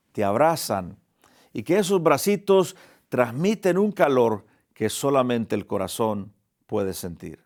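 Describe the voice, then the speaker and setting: A man's voice: medium pitch, darkish tone. Earnest man, counselor addressing listeners. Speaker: host and family counselor. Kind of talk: reflective monologue and interview.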